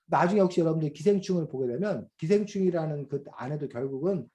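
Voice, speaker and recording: A middle-aged man teaching a children's reading class over a video call, explaining slowly with many fillers and questions to the students. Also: fairly high pitch, animated, mellow, slight background noise. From South Korea. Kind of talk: online class lecture to children.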